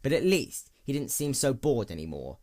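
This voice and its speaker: Animated male story narrator. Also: mid-range voice, moderate pace. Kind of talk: scripted fiction narration.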